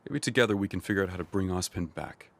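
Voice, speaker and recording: An actor playing a grave, resolute middle-aged military general in an animated drama, measured and authoritative. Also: male voice, deep voice. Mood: somber.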